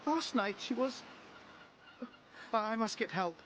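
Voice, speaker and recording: An actor playing a distressed man who narrates his own story slowly and theatrically in a radio drama. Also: high-pitched, animated, fairly bright tone, a little hiss or hum, full character voice. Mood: horrified, anguished. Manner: slow, theatrical, dramatic first-person telling. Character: distressed man narrating a remembered dream and grim discovery.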